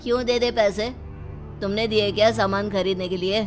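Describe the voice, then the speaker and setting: A woman's voice: mid-range voice, steady tone, normal speed, faint music behind, close to an everyday voice. A woman voicing a feisty middle-aged mother-in-law in a story, scolding in an indignant, emphatic way. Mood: defiant.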